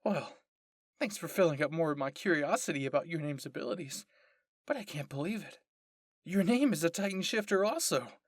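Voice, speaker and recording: Man putting on an excitable, high-pitched woman researcher. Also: fairly quick, no room sound, clean studio sound. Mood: eager curiosity. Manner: eager, gushing, emphatic. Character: excitable, nosy, gushing female military researcher.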